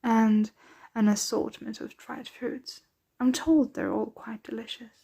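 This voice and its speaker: A woman playing a centuries-old, doting, aristocratic vampire mistress in an ASMR roleplay, speaking softly, tenderly and without hurry, often slipping into whispers. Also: a little high, little pitch movement, vocal fry, husky, exaggerated voice. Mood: gracious, welcoming. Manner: soft, unhurried, tender, often drifting into whispers.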